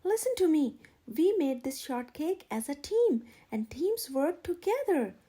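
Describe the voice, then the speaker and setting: A woman's voice: high-pitched, very animated, a little slow, warm tone, pitched above the performer's own voice. A woman voicing a commanding, easily exasperated rooster chef in a children's storybook, with emphatic, instructive delivery. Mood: exasperated, firm.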